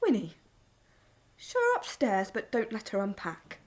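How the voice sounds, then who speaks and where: A woman's voice: medium pitch, huge pitch swings, measured pace. A woman playing a bitter, strict farm wife and mother in a wartime audio play. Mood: stern.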